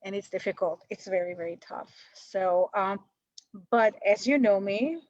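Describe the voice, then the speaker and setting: A woman's voice: medium pitch, normal speed, a little mellow, no echo, highs cut off. Chatty Hungarian-accented woman. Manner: chatty, self-deprecating, many fillers and restarts. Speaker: guest, paralegal. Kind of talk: interview over video call.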